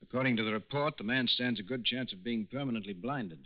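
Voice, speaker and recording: An actor playing a dry, measured investigator reporting findings, in 1940s radio drama style. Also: male voice, medium pitch, highs cut off, natural voice. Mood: matter-of-fact. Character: matter-of-fact male investigator reporting lab findings. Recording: band-limited 1940s radio recording.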